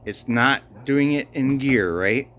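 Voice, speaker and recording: Guy in his garage bossing someone around, blunt n sweary, takes his time, really loud car engine running. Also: mid-range voice, slow, noisy background. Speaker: home mechanic filming a garage video. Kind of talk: casual troubleshooting talk. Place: indoors in a cluttered home garage. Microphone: handheld phone camera, speaker behind it. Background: idling car engine.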